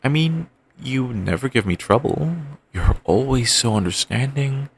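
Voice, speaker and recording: A man playing a creaky, doting yandere boyfriend. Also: mid-range voice, expressive, a little hiss or hum. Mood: doting, soft. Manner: soft, murmuring, slow and rambling endearments. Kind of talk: ASMR audio roleplay.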